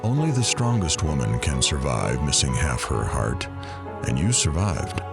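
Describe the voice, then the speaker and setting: A man playing a sympathetic show host in an audio drama, with husky, earnest, sentimental radio patter. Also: very deep voice, big pitch swings, measured pace, over loud music, full character voice. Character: sympathetic male show host interviewing a soldier's wife.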